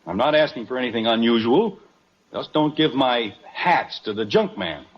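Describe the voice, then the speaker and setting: A man's voice: medium pitch, lively intonation, moderate pace, breathy edge. An actor playing an exasperated, stubborn suburban husband and father in a 1950s radio sitcom, blustering and indignant. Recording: dull vintage radio recording.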